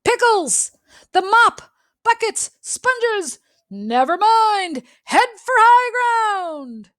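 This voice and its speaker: A woman's voice: very high-pitched, big pitch swings, drawn-out words, fairly bright tone, pitched above the performer's own voice, exaggerated voice. A woman voicing a grumpy, fussy male pig in a storybook, speaking in curt, emphatic exclamations. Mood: panicked.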